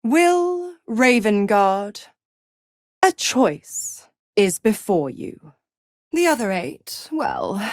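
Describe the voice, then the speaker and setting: A woman's voice: mid-range voice, dry recording, clean studio sound. An actress voicing a seductive, menacing female devil in a video game, theatrical and condescending, savoring each word as she taunts. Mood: grand, tempting. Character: smug, seductive, menacing female devil, cruel mistress of pacts.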